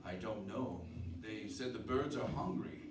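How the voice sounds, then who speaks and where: A man's voice: on the low side, a little slow, background noise, natural voice. A man acting a tense, grim, protective husband and father in a radio drama, also narrating in the first person. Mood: grim, practical. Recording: roomy, distant radio-play recording with loud music.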